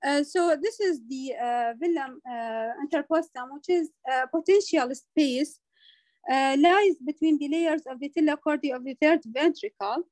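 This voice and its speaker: A woman lecturing over slides as a medical teacher, speaking in a halting way with frequent hesitant pauses. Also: high-pitched, a little mellow, no room sound.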